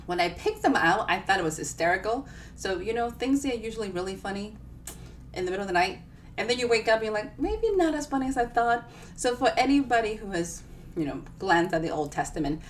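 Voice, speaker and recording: A woman author hosting a casual live book reading, chatting to viewers in a playful, self-deprecating way. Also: mid-range voice. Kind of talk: casual livestream chat to viewers. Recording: distant mic with clear background noise.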